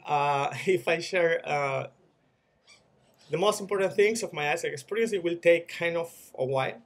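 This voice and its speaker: Venezuelan man, 30s, fairly high voice; reflective video message, earnest, restarts often. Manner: earnest, reflective, with frequent repeated words and restarts. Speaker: former student-organization leader sharing experience.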